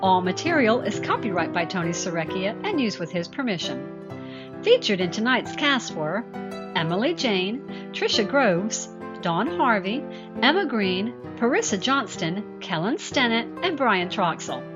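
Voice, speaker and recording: A woman's voice: medium pitch, very animated, unhurried, lo-fi sound. A woman announcer reading scripted credits for a radio drama. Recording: loud music under the voice. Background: prominent music.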